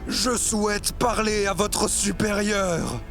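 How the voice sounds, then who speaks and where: An actor playing a pompous, impatient pharaoh who gives commands in a French audio drama. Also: male voice, high voice, fairly even intonation, slow pace. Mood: haughty. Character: imperious impatient male pharaoh demanding to negotiate. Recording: music behind the voice.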